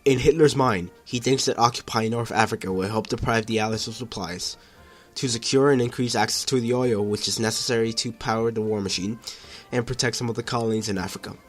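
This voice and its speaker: A man's voice: mid-range voice, steady tone, brisk. A man reading scripted narration in the style of a 1940s newsreel. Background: music.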